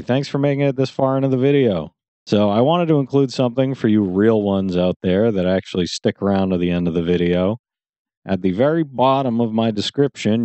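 A man's voice: on the low side, warm tone, no echo. A man speaking casually to viewers as the host of a gaming channel. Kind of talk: casual video outro addressed to viewers. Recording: dull lo-fi sound, dry.

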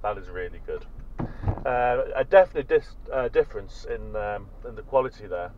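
A man's voice: lowish voice, lively intonation, normal speed. A British man in his forties giving casual, off-the-cuff commentary as he drives and tests radios. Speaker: vlogger testing two-way radios. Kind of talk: casual vlog commentary while driving. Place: inside a car. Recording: dull sound, loud car and road noise. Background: car engine and road noise.

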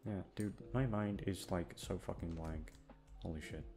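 Low-voiced young man rambling over music. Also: moderate pace. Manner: self-deprecating, hesitant, sweary. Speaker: audio creator and voice actor. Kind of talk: rambling casual chat to listeners.

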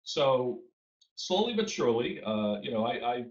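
A man's voice: mid-range voice, big pitch swings, a little slow. A man in his fifties with a Boston accent, a guest answering questions over a video call, rambling with fillers. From Boston area. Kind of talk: interview answers. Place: home room. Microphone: video call webcam. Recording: roomy dull webcam audio.

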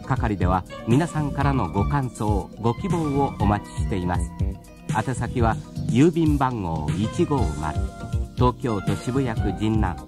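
A man giving measured, formal scripted narration for a radio drama. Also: mid-range voice, lively intonation. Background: music.

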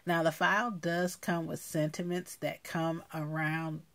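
A woman with a low voice and noticeable vocal fry presents a craft project. She talks casually and explains things in a halting, piece-by-piece way, at an unhurried, slow pace. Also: flat delivery, fairly bright tone. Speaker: craft video host.

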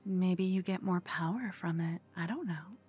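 Cooing, breathy yandere girl acted by a woman. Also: fairly low pitch, normal speed, mellow, faint music behind. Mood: eager, teasing. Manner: breathy, coy, cooing, often whispering. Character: cute, obsessed yandere con woman, gleefully scheming and clingy. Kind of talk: ASMR roleplay.

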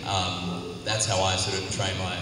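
A man's voice: deep voice, flat delivery, a little slow, bright, forceful tone. Easygoing guy chatting at a con panel, big roomy hall, noisy crowd behind. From New Zealand or Australia. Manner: rambling, self-correcting, laid-back. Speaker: panel guest actor. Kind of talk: casual convention panel talk. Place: large convention hall panel, indoors. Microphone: handheld mic. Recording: echoey hall, far from the mic, crowd murmur.

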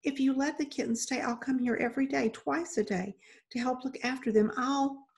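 A woman voicing an earnest young girl who pleads tearfully and rushes on, as in a storybook reading. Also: high voice, fairly even intonation, gravelly, voice pushed up. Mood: hushed, pleading.

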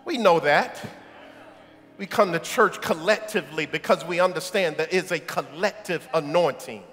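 A middle-aged man preaching a sermon in a large church sanctuary on a headset mic, shouting at the peaks and tossing quips at the congregation. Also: fairly high pitch, normal speed. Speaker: pastor. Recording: echoey sanctuary with congregation and organ. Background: congregation and soft music.

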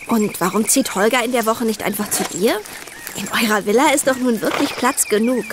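Woman playing a high-voiced eager teenage girl. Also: a little fast, background noise, natural voice. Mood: curious. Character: Franzi, eager horse-loving teenage girl detective. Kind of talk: German children's audio drama acting.

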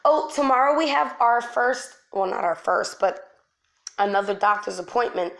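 A woman's voice: fairly high pitch, lively intonation, moderate pace, vocal fry, a bit bright. A woman chatting casually to her online followers, informal and repeating words for emphasis, sick and losing her voice. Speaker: online video host. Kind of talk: casual chat to followers, commentary.

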